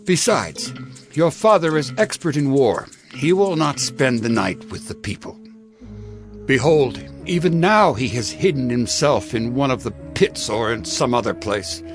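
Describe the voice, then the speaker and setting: An actor playing a shrewd, persuasive ancient royal counselor in a dramatized audio Bible, speaking slowly with emphatic oratory. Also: male voice, fairly high pitch.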